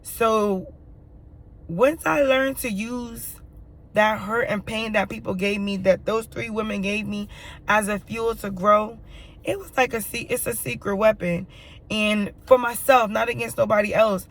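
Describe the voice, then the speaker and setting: A woman speaks to camera in a candid personal monologue, her voice raspy and hoarse with a dry, scratchy throat. She leans on emphasis and repeats phrases, swearing freely, with plenty of gravelly fry and a middling pitch. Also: steady tone, measured pace. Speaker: vlogger.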